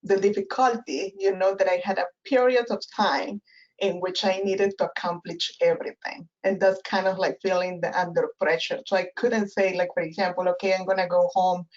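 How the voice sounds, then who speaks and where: A woman's voice: lowish voice, fairly even intonation, normal speed, a little mellow, highs cut off. A woman whose first language is Spanish, speaking with a Spanish accent as a guest professor in a webinar interview, thoughtful and full of restarts. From Spanish-speaking country. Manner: thoughtful, many fillers and restarts. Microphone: video call.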